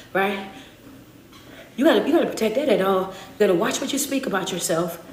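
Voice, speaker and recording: A woman's voice: medium pitch, reverberant, slight background noise. A woman motivational speaker giving a preaching-style talk, emphatic and full of repeated refrains.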